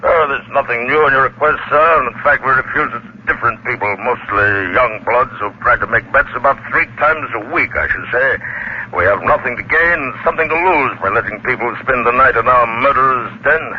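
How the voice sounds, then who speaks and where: A man's voice: medium pitch, brisk, close to an everyday voice. A man playing a cautious, businesslike waxworks manager in an old radio drama, formal and faintly condescending in manner. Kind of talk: 1940s radio drama acting. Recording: muffled, noisy old radio recording.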